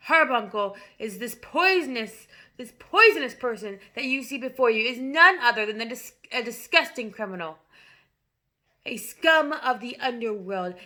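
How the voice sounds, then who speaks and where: A woman voicing a bullying female headmistress, barking and bellowing insults in a slow, loud voice. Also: fairly high pitch.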